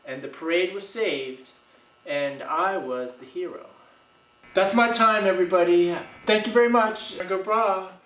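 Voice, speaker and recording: A man performing a comedic tall tale as a storyteller, with a theatrical, animated delivery. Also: high-pitched. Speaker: comic storyteller. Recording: echoey room, distant mic, muffled.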